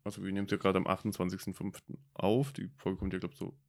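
A young man from Germany co-hosts a casual podcast chat. His voice sits low, and he thinks aloud in a hesitant, reflective way, full of fillers and restarts. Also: little pitch movement, quick pace, a little mellow. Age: about 20. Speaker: podcast co-host. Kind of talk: casual discussion.

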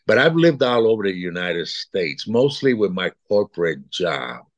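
A man's voice: deep voice, sing-song, moderate pace, no echo, dull audio. A man in his sixties from Puerto Rico, chatting as an interview guest, rambling and repeating words as he thinks. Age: 60s. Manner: rambling, repeats words, chuckles between thoughts. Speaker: guest artist. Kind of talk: casual interview chat.

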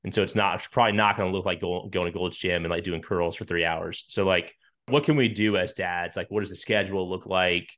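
A man's voice: sits low, fairly even intonation, a little fast, fairly bright tone. A man in his thirties hosting a podcast, chatting casually and informally as he interviews a guest. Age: 30s. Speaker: podcast host.

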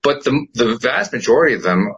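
A man's voice: medium pitch, a little fast, husky, muffled recording. A middle-aged man, host and producer, chatting casually and emphatically, laughing often. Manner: emphatic, animated storyteller who laughs often. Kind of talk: casual chat.

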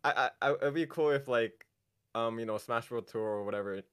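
A man's voice: mid-range voice, a bit bright, smooth, no room sound. A young man with an American accent chats as an interview guest. He halts often, restarting phrases and filling gaps with small words. The recording is dry and close. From Japan. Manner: halting, many fillers and restarts, laughs often. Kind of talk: casual interview chat.

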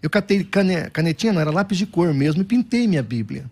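A middle-aged man speaks as a guest pastor. His voice sits somewhat high and he tells his anecdotes with energy, often restarting his sentences. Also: fast. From Brazil. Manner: animated, self-deprecating anecdotes with frequent restarts. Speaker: Baptist pastor. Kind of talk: storytelling interview answers.

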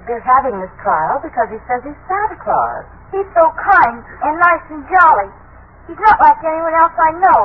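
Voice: high-pitched, expressive, moderate pace. A performer playing a trusting, sincere little girl in an old radio drama, childlike and earnest. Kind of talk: 1940s radio acting. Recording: thin, phone-like old radio recording with hiss.

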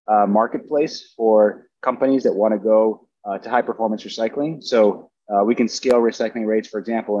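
A man from Brazil speaking as a remote panelist on a video call, talking in a halting way with many fillers. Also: lowish voice, a little mellow, slightly rough, no echo. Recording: dry, dull lo-fi call audio.

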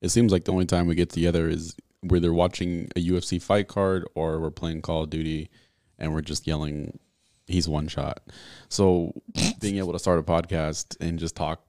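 A man's voice: deep voice, little pitch movement, normal speed, mellow, no echo, clean studio sound. A young man co-hosting a casual podcast with a friend, easygoing and self-assured. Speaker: podcast co-host. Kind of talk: casual chat.

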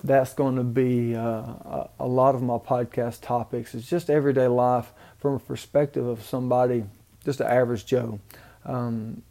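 A man with a Mississippi Southern drawl rambles on in a casual solo podcast, recorded on an amateur home mic. His delivery is flat and halting, full of ums and restarts. His voice is mellow and dark, at a middle pitch. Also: creaky. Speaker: podcast host. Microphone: home microphone, amateur setup.

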